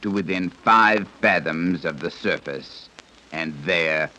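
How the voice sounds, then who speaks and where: Stern official of a hidden civilization: deep, forceful voice, precise formal delivery, vintage radio serial with some background noise. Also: male voice, full character voice. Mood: formal, matter-of-fact. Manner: precise, formal, measured explaining. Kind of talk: 1930s radio serial acting. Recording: noisy old 1930s radio recording.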